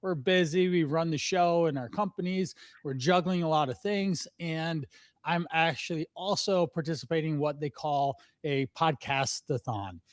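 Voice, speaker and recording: A man's voice: a little high, moderate pace, dry recording. Upbeat man in his forties, dry sound. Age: 40s. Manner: chatty, upbeat, laughs often. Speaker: podcast host, fitness coach. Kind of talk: casual podcast conversation.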